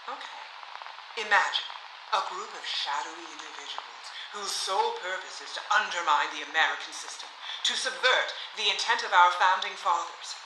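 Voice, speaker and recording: A sardonic young Hollywood woman, played by an actress, makes grand, defiant speeches in a period radio drama. The sound is roomy, with clear noise behind her. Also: fairly low pitch, animated, normal speed, close to an everyday voice. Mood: sardonic, cool. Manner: sarcastic, grandly rhetorical. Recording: roomy, noisy hall sound.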